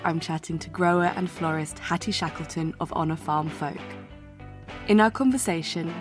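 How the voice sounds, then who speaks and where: A woman in her thirties hosting a podcast, chatting casually and laughing easily with her guest. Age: 30s. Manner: giggly, chatty, rises in pitch when excited. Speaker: podcast host. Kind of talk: casual interview chat.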